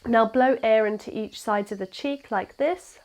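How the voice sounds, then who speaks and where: A young woman teaching a gentle yoga class, her voice congested and nasal from a cold, guiding slowly and calmly. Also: on the high side. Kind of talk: guided yoga class instruction.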